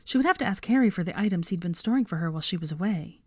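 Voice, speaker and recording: A woman's voice: fairly low pitch, expressive, rapid-fire, a little mellow, dry recording, studio quality. A woman narrating a story as an audiobook reader, from a script.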